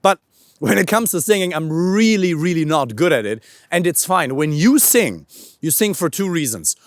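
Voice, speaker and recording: A man with a naturally high voice coaches other men while he walks outdoors. His pitch swings widely as he leans on words for emphasis, and now and then he swears casually. The sound is close and dry. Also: dry recording. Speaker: dating coach. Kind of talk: walking talk to camera, coaching. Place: outdoors, walking with a camera operator.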